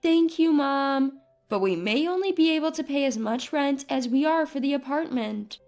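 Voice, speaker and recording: A woman's voice: high-pitched, expressive, moderate pace, darkish tone, faint music behind. A woman voicing an earnest, caring grown daughter in a narrated family drama.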